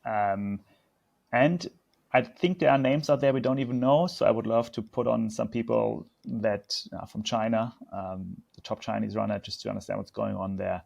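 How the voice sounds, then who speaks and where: Guy cohosting a podcast, gravelly lowish voice, thoughtful, bit halting. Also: steady tone, darkish tone. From Germany. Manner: thoughtful, slightly halting, with short affirmations. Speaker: podcast co-host. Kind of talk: casual podcast chat.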